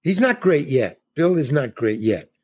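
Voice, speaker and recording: A man's voice: a little high, sing-song, normal speed, darkish tone, breathy edge. A man, an older actor, telling a rambling showbiz anecdote in a casual interview, restarting sentences and acting out quotes. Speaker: guest actor.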